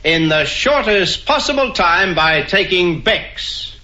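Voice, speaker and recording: A man's voice: high voice, expressive, slow pace, dull audio, noisy background. A man announcing an old-time commercial.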